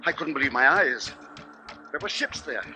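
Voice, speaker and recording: An actor playing a grave, anxious family man, narrating solemnly in a measured 1950s radio drama style. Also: fairly high pitch, animated, bright, forceful tone. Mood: awed, grave. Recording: 1950s radio recording with music behind.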